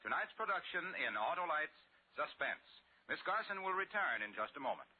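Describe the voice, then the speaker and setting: Lively, higher-pitched vintage radio host, male. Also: moderate pace, breathy edge, phone-quality sound. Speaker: radio show host and announcer. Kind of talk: 1950s radio announcing. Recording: band-limited old radio broadcast.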